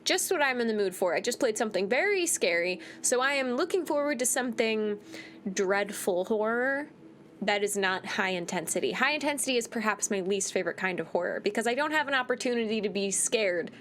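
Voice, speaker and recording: A woman's voice: a little high, expressive, a little fast, a bit bright, slight background noise. A woman hosting a game playthrough with casual, chatty, rambling commentary. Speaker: gaming video host.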